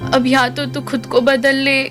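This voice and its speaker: A woman's voice: high voice, little pitch movement, unhurried, a bit bright, faint music behind. A woman playing an anxious, scolding middle-aged mother in a Hindi audio drama. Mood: grave.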